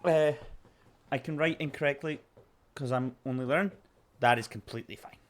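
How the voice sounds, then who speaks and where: A man's voice: medium pitch, moderate pace. A Scottish man streaming a puzzle game, chatting casually, reading out chat messages and talking to his dog. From Scotland. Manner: chatty, reads chat aloud, coos at his puppy.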